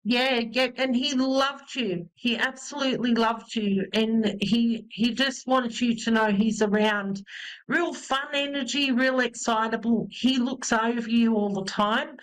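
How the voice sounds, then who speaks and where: A woman's voice: on the high side, unhurried, fairly bright tone, poor audio. A snuffly woman, giving a psychic reading to a caller in a rambling way with many ums. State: has a cold, snuffly. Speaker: psychic medium host. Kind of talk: live call-in reading.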